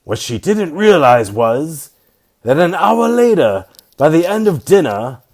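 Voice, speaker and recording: A man playing an authoritative, methodical police sergeant in an old-time radio drama, questioning deliberately. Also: on the high side, very animated, heavily acted. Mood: quietly triumphant. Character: brisk, methodical male police homicide sergeant. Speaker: actor.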